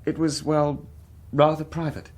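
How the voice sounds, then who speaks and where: An actor playing a naive junior politician with an upper-class English accent in a radio drama, hesitant, bashful and over-keen. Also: male voice, a little high, monotone, a little slow, darkish tone, close to an everyday voice.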